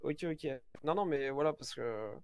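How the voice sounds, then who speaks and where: A man speaking as a mayoral candidate at a casual roleplay press conference, frank and self-assured but halting, full of fillers and restarts. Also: on the high side, lively intonation, a little mellow, clear, resonant tone. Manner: hesitant, many fillers and restarts.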